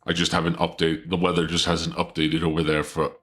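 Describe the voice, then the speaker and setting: Low-voiced guy streaming a game, sniffly, keeps tripping over his words, faint music in the background. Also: monotone, brisk. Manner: playful dark-lord streamer persona. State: sniffly from a cold. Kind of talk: casual live-stream chat.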